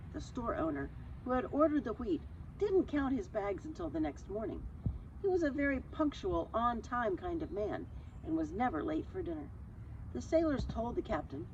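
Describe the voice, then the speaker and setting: A woman reads a story for children with very lively, swinging intonation. Her delivery is chatty and explanatory, with informal asides. The recording is somewhat dull, with noticeable background noise. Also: medium pitch. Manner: animated, chatty, explains words simply. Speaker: storyteller reading aloud. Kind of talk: expressive children's story reading with casual asides.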